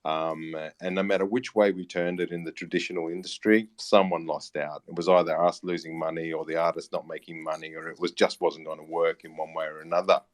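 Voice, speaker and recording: An Australian man in his late thirties giving a casual interview, halting and matey as he explains his work. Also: low-pitched, little pitch movement. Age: late 30s. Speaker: interview guest, music platform founder and teacher.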